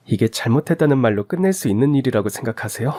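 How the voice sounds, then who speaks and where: A man's voice: medium pitch, lively intonation, quick pace, dark tone, natural voice. Man acting a bitter, accusing wronged mother. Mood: shocked, cold. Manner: accusing, sarcastic rhetorical questions. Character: wronged mother, bitter, indignant daughter-in-law confronting mother-in-law.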